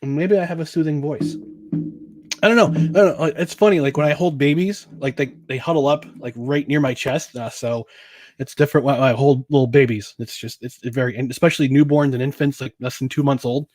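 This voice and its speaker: A man's voice: medium pitch, fairly even intonation, a little fast, a little mellow, no echo. A man hosting a casual solo podcast about sports and beer, rambling with chuckles, filler words and restarts. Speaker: podcast host.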